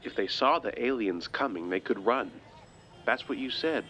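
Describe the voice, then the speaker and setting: A man's voice: mid-range voice, lively intonation, normal speed, sharp tone, a little hiss or hum, rough recording. A man playing a polite, doubtful civilian survivor in an audio drama, with a formal, wry manner. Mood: wary, skeptical.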